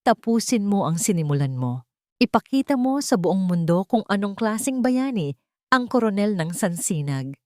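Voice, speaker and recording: A woman's voice: medium pitch, very animated, normal speed, warm tone, no room sound, clean studio sound, lightly acted. A woman voicing a bitter, defiant wronged wife out for revenge, hard and taunting, in a dramatic audio story.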